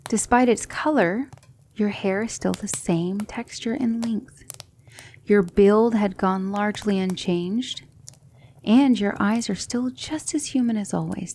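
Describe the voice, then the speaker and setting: A woman playing a gentle, patient demon mentor in an ASMR roleplay, coaxing her student with soft, deliberate instruction that often drops toward a whisper. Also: medium pitch, a little hiss or hum, natural voice. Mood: calm, instructive. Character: gentle female demon mentor teaching magic, patient, reassuring.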